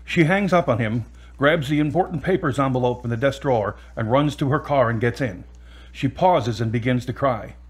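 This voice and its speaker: A man's voice: medium pitch, normal speed, breathy edge. A man reading scripted narration that sets out the action of an audio drama. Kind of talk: scripted narration of stage directions.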